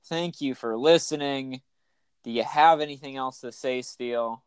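Raspy man co-hosting a podcast. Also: medium pitch, moderate pace, dry recording. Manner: enthusiastic, joking, rambling. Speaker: podcast co-host. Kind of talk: casual chat.

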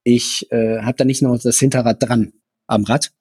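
A male running coach co-hosting a podcast, talking in a slightly husky voice. He explains things at length and fills his pauses with hesitations. The recording is dry and close. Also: mid-range voice, level tone, a little mellow, no room sound. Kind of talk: casual chat.

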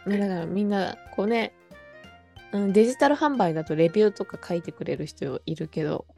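A woman with a medium-pitched voice chats casually as a co-host. Her intonation swings widely as she explains things conversationally. Faint music sits behind her. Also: animated, quick pace, vocal fry, darkish tone. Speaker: podcast co-host and writer in an amateur voice drama group. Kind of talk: casual chat among friends. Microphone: remote call recording. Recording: background music under a remote chat.

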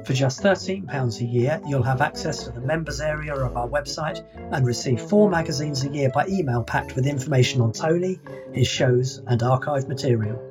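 A man's voice: mid-range voice, normal speed, over music. A man co-hosting a podcast and reading an upbeat promo. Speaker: podcast co-host.

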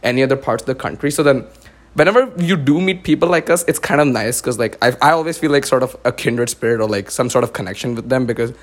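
A young man hosting a podcast in casual chat, raised in India after a US birth, talking with lots of "like" fillers. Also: mid-range voice. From born in the US, raised in India. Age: young adult. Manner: fast, filler-heavy talk with many "like"s. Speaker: podcast host.

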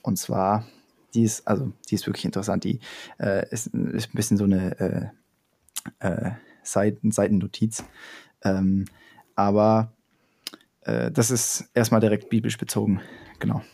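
A man's voice: on the low side, flat delivery, unhurried, creaky, pauses often, warm tone. A young man in casual chat, explaining ideas step by step with frequent fillers and restarts.

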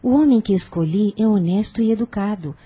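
A woman playing a firm, persuasive older aunt in a radio soap opera, genteel, formal and measured. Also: mid-range voice, warm tone, slightly rough, no room sound, lightly acted. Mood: decisive, coaxing.

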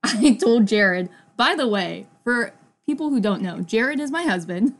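A woman's voice: on the high side, lively intonation, a little slow. A young woman co-hosting a casual podcast, chatty and giggly, reading history from her notes with lots of "like". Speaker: podcast co-host. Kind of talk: casual chat.

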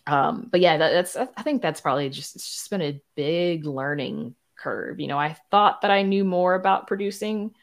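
Low voiced woman podcast guest, chatting casually, explains stuff candidly, lots of you knows. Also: moderate pace, hardly any pauses. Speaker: podcast guest, audio drama producer. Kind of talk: casual interview conversation.

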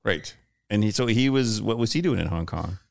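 A man's voice: lowish voice, expressive, normal speed, gravelly, dry recording, clean studio sound. A man from Canada co-hosting a casual talk, asking joking, teasing questions. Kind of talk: casual conversation.

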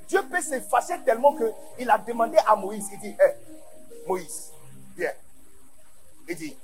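A man from Ghana with English as his first language, preaching a sermon in French to a large conference, going from quiet asides to shouted emphasis. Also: very high-pitched, rough recording. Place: large church conference hall.

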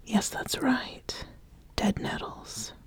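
A woman playing an ambitious witch who sizes up a would-be apprentice in a whispered ASMR roleplay, speaking deliberately and giving gentle instruction. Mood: hushed, testing. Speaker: ASMR host.

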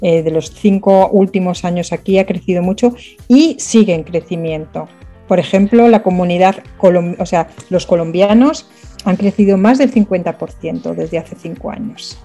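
A woman with a mellow, warm tone talks in a radio interview. She explains things eagerly, repeating words and filling pauses with "eh". Soft music sits faintly in the background. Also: lowish voice. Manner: animated, halting with fillers. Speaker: guest. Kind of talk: conversational interview talk. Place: radio studio.

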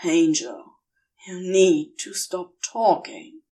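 A woman's voice: lowish voice, lively intonation, slow pace, mellow, recorded from a distance, full character voice. A woman voicing a tense, protective male demon in an audiobook, curt and restrained yet tender. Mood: strained pleading.

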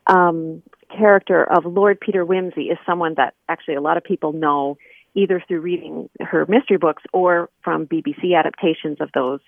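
A woman's voice: fairly low pitch, dry recording, narrow, tinny audio. Woman guest on a phone-sounding line, dry, casually explaining stuff in an interview. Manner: conversational, explanatory, informal. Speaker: guest, scholar and editor.

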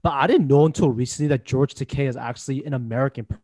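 A young man joins a casual chat with friends, agreeing readily and eager to add his own view. His pitch sits in the middle range. The sound is close and dry. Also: fast talker, a little mellow, husky, no room sound.

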